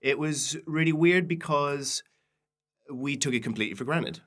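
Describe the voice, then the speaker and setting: A man's voice: fairly high pitch, moderate pace, a bit bright. A young man from Belgium with a cold, chatting casually and playfully as a comedian guest on a podcast. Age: young adult. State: has a cold. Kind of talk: casual chat.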